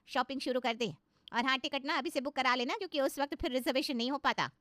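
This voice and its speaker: Woman as a gossipy, high-voiced boastful aunt. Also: quick pace, sharp tone, dry recording, clean studio sound. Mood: boastful, advising. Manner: chatty, knowing, boastful. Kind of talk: cartoon moral story voice.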